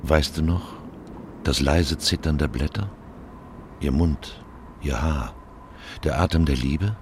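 A man playing a grave, knowing, fatalistic figure who speaks in dark poetry in a radio drama. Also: takes it slowly, noisy background. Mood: brooding, poetic. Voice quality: very deep, gravelly. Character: dark, fatalistic male narrator taunting a lost lover. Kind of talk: literary radio drama acting.

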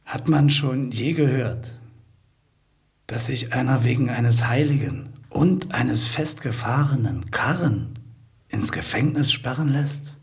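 An actor playing a coarse, wary male convict who works as hangman, gravelly and blunt, in a German radio play. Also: mid-range voice, slow. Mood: suspicious, uneasy.

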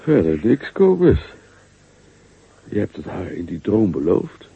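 An actor playing a young farmhand who grumbles and talks to himself in a radio play. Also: male voice, lowish voice, very animated, unhurried, creaky, breathy edge, full character voice. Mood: grumbling. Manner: grumbling, self-talking. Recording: dull lo-fi with faint noise.

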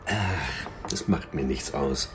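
An actor playing a calm, commanding police commissioner in a radio crime drama, speaking in a terse, dry, authoritative way. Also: male voice, a bass voice, slow pace, a little hiss or hum. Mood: unruffled.

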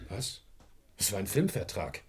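An actor playing a cornered gangster in a German radio crime drama, bitter and defensive, with terse speech and dramatic emphasis. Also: male voice, deep voice, sing-song, measured pace, background noise. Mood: defensive, regretful.

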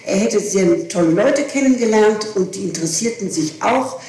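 A woman's voice: mid-range voice, expressive, normal speed, mellow. A woman being questioned, recounting events in insistent detail and often correcting herself as she goes. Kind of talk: recounting events under interrogation. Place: echoing room, archival tape recording. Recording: very echoey, noisy old interrogation tape.

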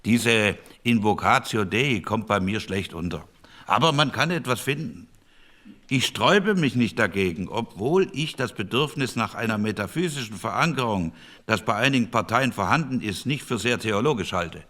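An actor playing a dry, sceptical, opinionated statesman speaking deliberately in a radio drama debate. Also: on the low side, animated, fairly bright tone. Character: dry, sceptical liberal male elder statesman in committee.

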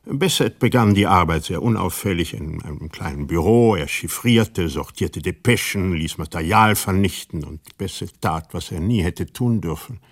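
An actor playing a world-weary former embassy security chief, narrating a radio drama in a measured, wry manner. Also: male voice, low voice. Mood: wry recollection.

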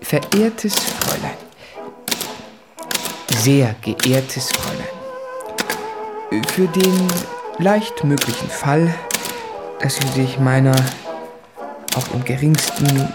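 An actor playing an anguished, introspective writer in a literary radio drama, confessing in a halting, brooding way. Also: male voice, fairly high pitch. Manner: slow, halting, brooding, with deliberate pauses.

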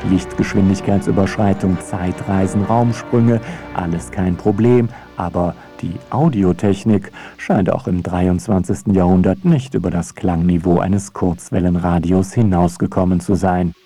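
A low-pitched male narrator reads a scripted feature essay. His delivery is wry and dryly ironic, with music audible behind him. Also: fairly even intonation, moderate pace, mellow.

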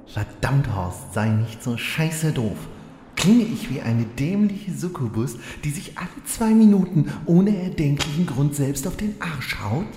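An actor playing a sinister, commanding spirit that speaks inside a warrior's head in a parody fantasy audio play. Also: male voice, a little high, sing-song, unhurried, dark tone. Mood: exasperated anger. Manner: commanding, scheming, exasperated. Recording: cavernous echo, long reverb, hissy.

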